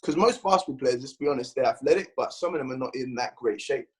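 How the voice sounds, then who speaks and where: A man from London with a London accent, a guest bodybuilder chatting on a podcast, rambling and animated as he tells his story. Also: medium pitch, fast, breathy edge. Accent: London English. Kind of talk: casual podcast chat.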